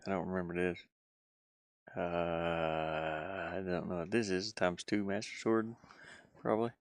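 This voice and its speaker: A man's voice: low-pitched, fairly even intonation, a little slow, no room sound, studio quality. A man live streaming game design work, rambling and musing casually as he hesitantly thinks aloud.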